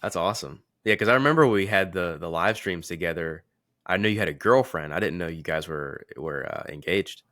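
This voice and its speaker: A man with a very deep yet bright voice talks like a podcast host in casual conversation. His wording is informal and slangy, and the recording is dry and close. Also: brisk, dry recording. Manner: informal, slangy, occasional swearing. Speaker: podcast host and men's confidence coach.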